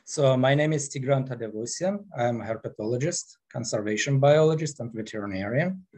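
A man in his forties from Armenia with an Armenian accent, giving an online presentation on a headset mic in an unhurried, halting way full of fillers. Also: mid-range voice, a little mellow, slightly rough. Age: 40s. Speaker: guest presenter. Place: small room, indoors. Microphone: headset microphone.

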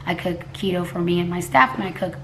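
A woman in her forties from Texas, hoarse, chatting casually to camera at home, rambling with restarts and coughs. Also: lowish voice, quick pace, fairly bright tone, slight background noise, poor audio. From Texas, USA. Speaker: cooking vlogger. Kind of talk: casual vlog talk to camera. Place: living room at home, indoors. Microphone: camera a few feet away at a table.

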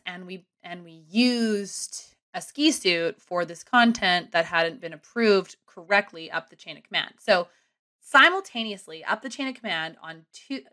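A woman in her thirties telling a long story casually on a podcast from a small home room, animated and rambling. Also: mid-range voice. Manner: animated, rambling, self-deprecating. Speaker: guest comedian and ski content creator. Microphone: studio mic close up with headphones.

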